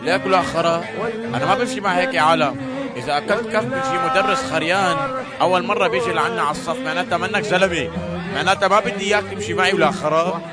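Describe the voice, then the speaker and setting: A man's voice: high voice, very fast, close to an everyday voice. A man playing a crude, insulting young tough guy. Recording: loud crowd and music.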